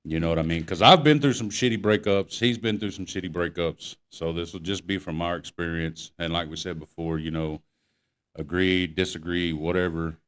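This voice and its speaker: Guy w/ a deep voice, slangy laid back podcast chat. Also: steady tone, moderate pace. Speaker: podcast co-host. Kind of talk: casual chat.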